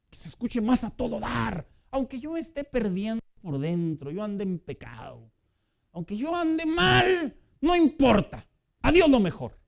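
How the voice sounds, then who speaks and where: A man preaching a sermon as pastor in a conversational way, building to loud emphatic peaks. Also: high voice, vocal fry.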